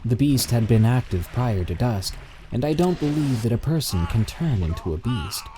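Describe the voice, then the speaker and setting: A man reading submitted encounter stories aloud in steady, measured scripted narration. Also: medium pitch, a little hiss or hum.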